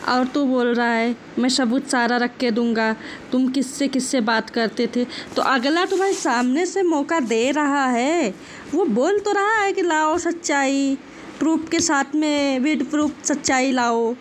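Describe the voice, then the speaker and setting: High-pitched scolding woman. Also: a little slow, slight background noise. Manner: scolding, confrontational, repeats phrases mockingly. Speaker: vlogger commenting on an online controversy. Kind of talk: casual opinionated rant.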